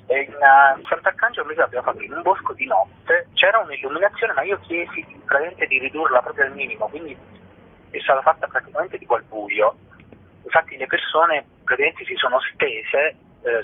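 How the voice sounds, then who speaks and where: Husky man on a muffled line. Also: medium pitch. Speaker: guest, singer and stage performer. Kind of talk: spontaneous radio interview. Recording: muffled phone line into radio.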